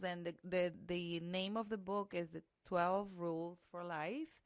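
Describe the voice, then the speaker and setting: A woman co-hosting a casual podcast chat in English, her first language being Spanish, earnest and pausing to search for words. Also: lowish voice, clean tone, no echo. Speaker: podcast co-host.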